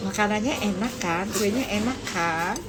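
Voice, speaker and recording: A middle-aged woman, the host's mother, speaking softly and sweetly polite from a little way off the phone. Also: medium pitch. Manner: soft-spoken, slow and sweetly polite. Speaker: host's mother, joining the livestream.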